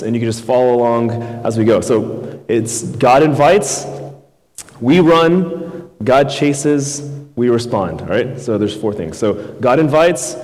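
A man in his thirties from Houston, preaching a relaxed, conversational sermon as a guest youth pastor, with many fillers and questions to the crowd. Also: medium pitch. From Houston, Texas. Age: 30s. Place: church sanctuary. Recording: long reverb in a large hall.

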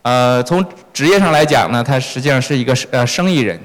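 The man standing beside a preacher in a big echoing church, relaying each phrase to the congregation in a mid-pitched voice. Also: animated, a little fast. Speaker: church sermon interpreter. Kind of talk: consecutive interpreting of a sermon. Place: large reverberant church hall. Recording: echoey hall with long reverb.

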